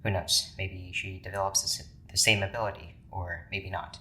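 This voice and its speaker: An actor playing a stern, analytical scientist in charge, speaking in a measured clinical way in an audio drama. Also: male voice, fairly even intonation, sharp tone, low-quality recording. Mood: commanding. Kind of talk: naturalistic audio drama acting. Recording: clear background noise with rumble.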